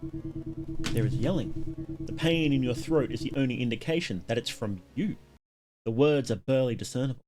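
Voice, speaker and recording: A man with a mid-pitched voice hosts a live game stream. He reads on-screen story text aloud and slips into casual commentary, with faint music playing behind him. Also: big pitch swings, normal speed, long pauses.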